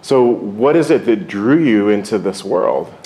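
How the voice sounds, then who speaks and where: A man with a somewhat low voice hosts an interview. He asks short, friendly questions in an upbeat, encouraging way. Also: animated, measured pace, dark tone, a little hiss or hum, rough recording.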